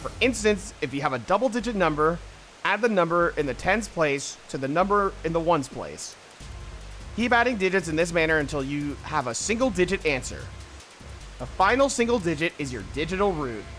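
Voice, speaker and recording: A man with a fairly high, very animated voice gives casual commentary on a game. He reads on-screen text aloud and mutters to himself as he plays, over soft game music. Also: fairly quick. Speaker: streamer playing a puzzle adventure game.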